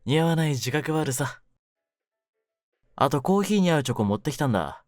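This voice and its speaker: A man playing a menacing young mafia kidnapper in an intimate voice drama, speaking low with rough, smug, teasing words. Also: animated, fairly quick, no echo, clean studio sound, faint music behind, natural voice. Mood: playful, casual. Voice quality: low-pitched, hushed at menacing moments. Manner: rough masculine speech, smug mock politeness. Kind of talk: ASMR situation voice drama, intimate one-sided roleplay.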